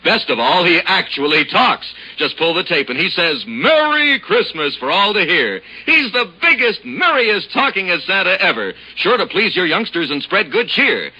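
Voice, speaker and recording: A man reading a hard-selling, brisk old radio advertisement. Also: high-pitched, very animated, pushed and bright, husky, muffled recording. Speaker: radio ad announcer.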